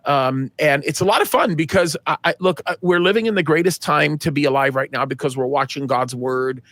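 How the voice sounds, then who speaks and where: Middle-aged man, pastor guest, slightly high voice, dry close sound, earnest, emphatic restarts. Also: fairly quick, fairly bright tone, dry recording. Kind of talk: conversational interview answers.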